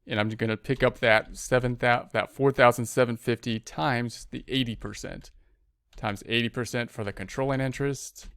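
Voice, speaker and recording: A man's voice: medium pitch, fairly even intonation, normal speed, creaky, a bit bright, smooth, no room sound. A man narrating a screen-recorded spreadsheet tutorial as an accounting instructor, informally thinking aloud step by step into a close mic.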